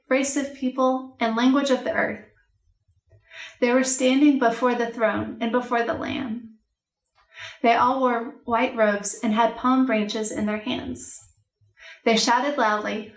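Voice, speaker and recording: Woman teaching a kids' church lesson, kinda high voice, gentle and encouraging, roomy echo. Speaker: children's missions teacher. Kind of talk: scripted children's lesson. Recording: echoey room.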